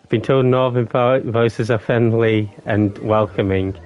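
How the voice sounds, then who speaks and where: A man's voice: steady tone, a little slow, highs cut off, background noise. A man, casually chatting with his live-stream viewers while gaming, playful and jokey. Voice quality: deep voice. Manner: playful, jokey, bursts into silly singing.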